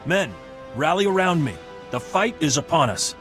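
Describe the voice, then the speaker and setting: A man's voice: fairly high pitch, expressive, moderate pace, a bit bright, faint music behind. A male actor playing a steadfast castle guard captain in a video game, resolute and commanding. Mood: rallying defiance. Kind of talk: video game dramatic acting.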